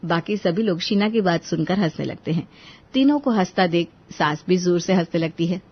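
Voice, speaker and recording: A woman's voice: fairly low pitch, animated, moderate pace, husky. Woman narrating a story, slightly muffled. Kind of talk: scripted Hindi story narration. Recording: dull, lo-fi audio.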